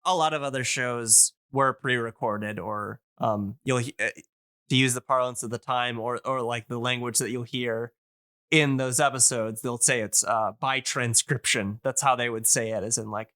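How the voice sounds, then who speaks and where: A man talking casually and enthusiastically as a podcast guest, explaining things in a rambling, halting way. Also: medium pitch, fairly even intonation, pushed and bright, dry recording, studio quality. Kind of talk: casual, enthusiastic info-dumping podcast chat.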